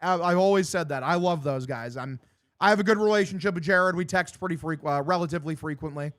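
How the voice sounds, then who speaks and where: A young man in his twenties with a distinctly high voice for a male. He streams baseball commentary mixed with casual chat, in loose, slangy language with plenty of swearing and a bro-like way of addressing people. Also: fast, fairly bright tone. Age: 20s. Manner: profane, slangy, bro-like talk. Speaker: sports streamer calling a baseball game and answering chat.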